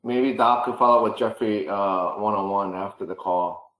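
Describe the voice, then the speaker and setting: A man organizing a program, wrapping up a video call in a halting way with many fillers. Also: on the low side, a bit bright, reverberant, low-quality recording.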